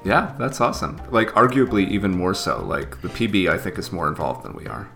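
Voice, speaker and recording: A man's voice: deep voice, very animated, a little fast, gravelly, pushed and bright, faint music behind. A man co-hosting a podcast in casual, easygoing chat with hesitant fillers and chuckles. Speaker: podcast co-host.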